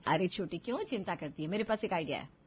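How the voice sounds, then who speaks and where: A woman's voice: fairly low pitch, lively intonation, quick pace, slightly rough. A woman playing a crafty, coaxing elder daughter-in-law and young mother in a cartoon. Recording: dull lo-fi audio.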